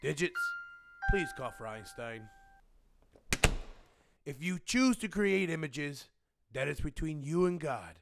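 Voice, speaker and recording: An actor playing a stern, pious authority figure in a radio drama, preachy and formal in manner. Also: male voice, fairly high pitch, expressive, takes it slowly, vocal fry, pauses often, heavily acted. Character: stern, pious short man in charge, paternal. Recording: loud music over the voice.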